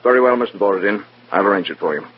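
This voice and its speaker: A man's voice: lowish voice, expressive, rapid-fire, slightly rough. A man playing a skeptical, formal official in a 1950s radio drama, speaking in a dry, clipped way. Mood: curt, reluctant. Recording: dull, noisy vintage radio recording.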